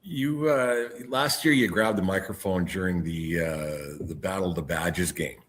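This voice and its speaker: A man's voice: low voice, measured pace, fairly bright tone. A man hosting a casual podcast interview, putting questions in a rambling, jokey, conversational way. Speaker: podcast host interviewing an athlete.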